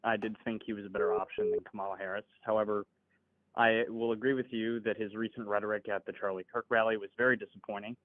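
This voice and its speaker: A man in his twenties talks through a call in a lowish, measured voice, hedging each point politely. The audio is thin and phone-like, and completely dry. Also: flat delivery, dry recording. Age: 20s. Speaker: caller. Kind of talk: casual political debate.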